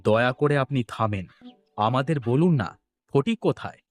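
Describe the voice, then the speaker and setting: A man's voice: mid-range voice, expressive, normal speed, lightly acted. A man voicing a worried, doubtful village farmer in a cartoon, plain-spoken and questioning. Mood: pleading. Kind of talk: Bengali comic cartoon acting.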